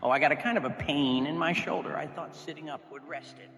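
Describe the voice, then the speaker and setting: A man's voice: fairly high pitch, steady tone, fast talker, fairly bright tone. A man voicing a cool-headed, bossy kidnapper in a storybook, dry and folksy. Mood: dry, assured. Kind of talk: storybook character voice. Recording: long reverb, music behind the voice.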